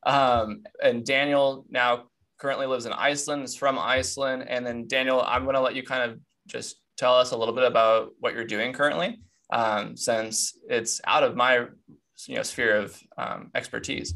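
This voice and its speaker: A young man hosting a podcast. His voice is bright and forward, and he opens with an upbeat scripted welcome before settling into relaxed conversation with a friend. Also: medium pitch, normal speed, creaky. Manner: upbeat scripted intro, then relaxed chat. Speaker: podcast host. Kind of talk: casual interview podcast.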